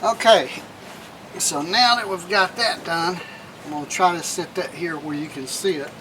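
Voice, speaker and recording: Guy doing a folksy how-to vlog in a van, kinda high voice, lots of background noise. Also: moderate pace. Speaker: vlog host. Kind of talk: casual how-to demonstration, talking while working hands-on. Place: in and around a minivan.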